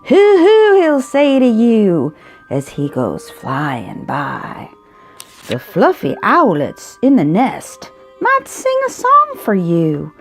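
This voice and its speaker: Slow, expressive woman reading a storybook. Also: mid-range voice. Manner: expressive, drawn-out emphasis. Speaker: storybook reader. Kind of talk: reading a children's picture book aloud. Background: music.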